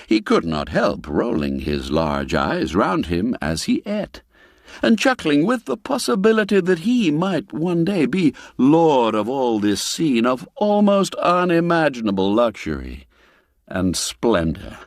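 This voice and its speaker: Slow, animated male storyteller. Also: mid-range voice, no echo, clean studio sound. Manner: theatrical, savoring, deliberate phrasing. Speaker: storyteller, audiobook narrator. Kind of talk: expressive literary scripted narration of a classic tale.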